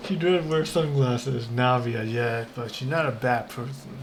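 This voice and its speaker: Very low-voiced woman streaming a game. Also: unhurried, noisy background. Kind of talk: casual gameplay commentary. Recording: game music behind the voice.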